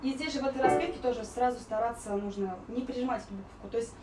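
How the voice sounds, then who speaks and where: High-voiced female vocal coach in a roomy space. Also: rapid-fire, over a noisy crowd. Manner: explanatory, demonstrating vowels and sung warm-ups. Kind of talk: informal spoken singing lesson with explanations. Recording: roomy echo, distant mic, music behind.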